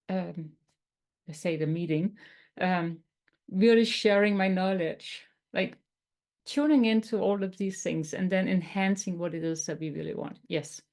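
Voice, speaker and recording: A woman from Denmark with a Danish accent, teaching tapping in a webinar in a gentle, explanatory way with some non-native phrasing. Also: mid-range voice. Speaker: tapping coach.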